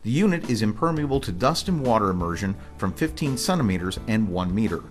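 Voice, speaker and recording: An American man reading scripted promotional narration in a formal, measured, informational manner. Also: on the low side, sing-song. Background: music bed.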